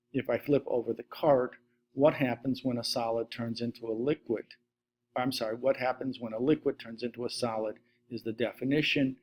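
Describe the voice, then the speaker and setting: A man's voice: medium pitch, slight background noise. A middle-aged man, a science teacher giving a recorded slide lesson at his desk webcam, steadily reading through lists. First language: Latvian. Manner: steady list-reading delivery.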